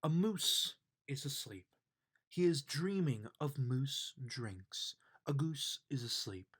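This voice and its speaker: A man reading a children's bedtime story aloud in an expressive, unhurried way. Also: mid-range voice, breathy edge. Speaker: storybook reader.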